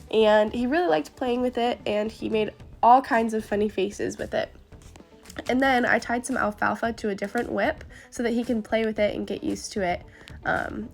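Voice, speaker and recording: A young woman with a stuffed-up nose from a cold narrates a training vlog, earnest and upbeat. Her voice has a gravelly, fry-touched edge, and soft music plays underneath. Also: mid-range voice. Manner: upbeat and earnest, explaining step by step. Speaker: horse trainer. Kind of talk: voice-over narration of training footage.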